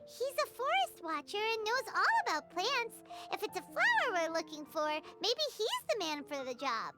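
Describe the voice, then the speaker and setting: A tiny fairy sidekick from an anime game with an extremely high, childlike squeak. The delivery swoops wildly, cheeky and blunt, over loud music. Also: female voice, wildly swooping pitch, moderate pace, full character voice. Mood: eager. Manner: exclamatory, blunt, playful. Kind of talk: anime video game voice acting.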